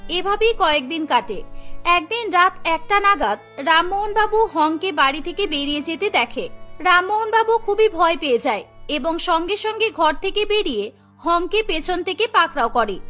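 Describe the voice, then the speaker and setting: Very high-pitched woman narrator. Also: pushed and bright. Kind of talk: scripted cartoon storytelling.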